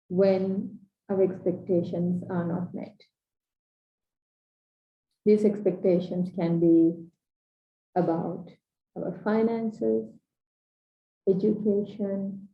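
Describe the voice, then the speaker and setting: A woman from Sri Lanka with a Sri Lankan English accent, giving an earnest, measured coaching talk in short, paused phrases. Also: medium pitch. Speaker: transformational coach. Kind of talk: slow reflective training talk. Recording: dull echoey room recording.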